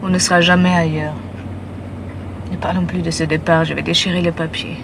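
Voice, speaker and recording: A woman's voice: lowish voice, flat delivery, brisk, vocal fry, background noise. A woman with as her playing a reserved, curt lover in a film scene. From Paris. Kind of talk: film dialogue.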